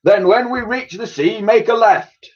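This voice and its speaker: A proud old admiral, acted out by a man reading a storybook, barks orders in a raised, high-pitched voice. He is commanding and declamatory, heard on a dull, lo-fi recording. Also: a little slow, distant mic.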